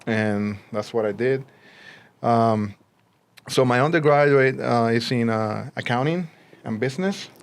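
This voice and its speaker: A man's voice: mid-range voice, a little slow, smooth. Puerto Rican man in a conversational interview. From Puerto Rico. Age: 40s. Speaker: interview guest, realtor and army veteran.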